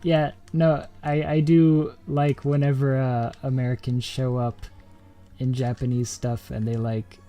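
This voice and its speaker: A man's voice: mid-range voice, flat delivery, unhurried, mellow, soft background music. A man chatting casually on a game night stream, hesitant and often searching for his words. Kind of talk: casual chat among friends.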